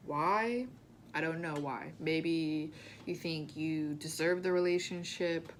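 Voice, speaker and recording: Blunt, low-voiced woman YouTuber. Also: a little hiss or hum. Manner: blunt, emphatic. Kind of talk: motivational talk to camera.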